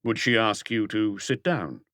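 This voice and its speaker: A man's voice: on the low side, a little slow, a bit bright, no room sound, studio quality. A man voicing a probing, persistent police detective who presses firmly with pointed questions in an audiobook mystery.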